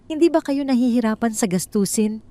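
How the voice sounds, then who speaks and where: A woman's voice: a little high, animated, a little fast, dark tone, pitched above the performer's own voice, natural voice. A woman voicing a glamorous, scheming mistress in an audio drama, falsely friendly, with a sugary, casually probing manner.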